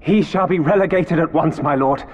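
A man's voice: fairly high pitch, normal speed, big-room sound, highs cut off, lightly acted. An actor playing a deferential male imperial officer in a video game, reporting in a stiff, formal and subservient way. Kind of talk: video game cutscene voice acting.

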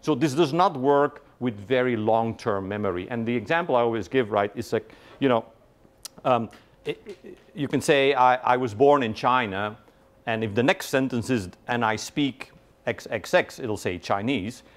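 A middle-aged man giving a university lecture in a large hall, lively and conversational, often checking in with "right?" and quizzing his audience. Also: medium pitch, normal speed. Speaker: guest lecturer.

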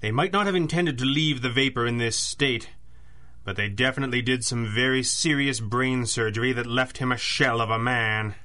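An actor playing a sober, analytical masked crimefighter in a 1930s-style radio drama, crisp and formal. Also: male voice, mid-range voice, normal speed, sharp tone, natural voice. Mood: grave, urgent.

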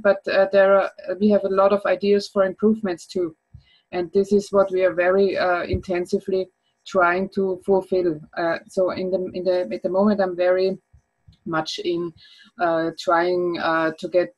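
A woman's voice: medium pitch, darkish tone, muffled recording. Hesitant woman on a video call. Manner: hesitant, many fillers and restarts. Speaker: educator sharing her project. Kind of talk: casual video-call talk. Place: home room with bookshelves. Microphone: laptop webcam mic on a video call.

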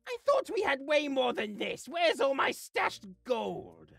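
A performer voicing a grumpy, greedy, scheming little creature in a comedy game series, dramatic and sulky, swinging from mutters to shouts. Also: sits high, huge pitch swings, normal speed, vocal fry, no room sound, soft background music, exaggerated voice. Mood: indignant. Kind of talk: voice-acted comedy over gameplay.

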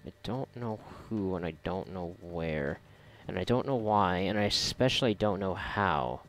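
A man giving casual, reactive commentary while gaming, sarcastic and foul-mouthed, with a gravelly voice. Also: low-pitched, lively intonation, a little slow, a bit bright. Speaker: gamer recording a playthrough. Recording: noisy, game gunfire behind voice. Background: video game gunfire and ambience.

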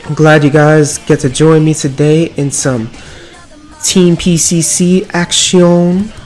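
A man with a slightly raspy voice from a cold, giving upbeat, rambling commentary over mobile card game play. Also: a little high, fairly even intonation, takes it slowly, a little mellow. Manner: upbeat, filler-heavy running commentary. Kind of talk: casual gameplay commentary. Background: background music.

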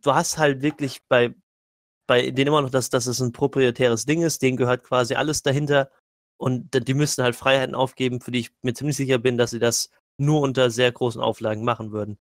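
A man's voice: medium pitch, steady tone, fast, dry recording. Insistent man, dry close sound. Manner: argumentative, insistent, stumbles and repeats words. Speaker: podcast panelist. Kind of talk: casual debate on gaming news.

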